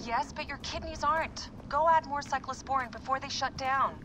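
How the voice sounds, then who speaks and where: A woman playing a bossy but caring, sardonic medical officer in a video game, brisk and insistent. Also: high voice, natural voice. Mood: wry, insistent. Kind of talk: naturalistic video game acting. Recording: clear background noise.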